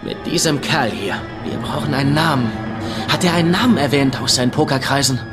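An actor playing a cocky, playful young fake psychic detective with mock drama in a dubbed comedy crime series. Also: male voice, medium pitch, animated, fairly quick, over music, lightly acted. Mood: theatrical.

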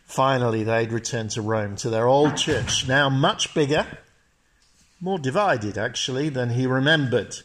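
Pastor telling a story from the pulpit. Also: male voice, medium pitch, fairly bright tone. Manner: measured, storytelling. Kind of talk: sermon with narrated story. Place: church.

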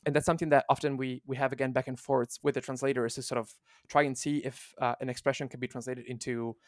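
A man, a guest on a podcast, explaining his work in a casual, halting way with many restarts. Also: mid-range voice, little pitch movement, dry recording, studio quality. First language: Italian. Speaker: guest, translation manager.

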